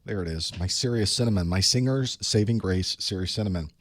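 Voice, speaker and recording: A man with a hoarse voice from a cold, chatting casually to his live stream audience while he sets up for an online class. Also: sits low, brisk, background noise. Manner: chatty, wry, talks to himself while setting up. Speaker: online course instructor. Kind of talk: casual pre-show chat to a live stream. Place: small studio desk.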